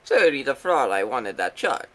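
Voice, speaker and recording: A man voicing a suave, cutting young German prosecutor, smooth and condescending, in amateur video game voice acting. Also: medium pitch, very animated, moderate pace, voice pushed down. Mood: smug, reasoning. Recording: loud music behind the voice. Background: video game music.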